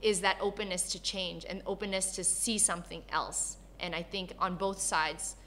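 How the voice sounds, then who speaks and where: Female interview guest, from Malaysia and Canada. Bright, forward voice, candid and conversational, animated storyteller. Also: on the low side, normal speed, slight background noise. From born in Malaysia, grew up in Canada. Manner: animated storyteller, acts out quoted dialogue.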